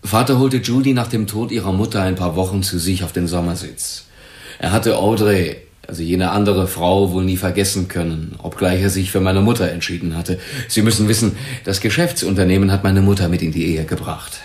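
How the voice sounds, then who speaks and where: A man's voice: deep voice, steady tone, brisk, darkish tone, reverberant, close to an everyday voice. An actor playing a defensive, troubled businessman under questioning in a German crime radio drama, explaining himself earnestly and hesitantly. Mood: subdued, confiding.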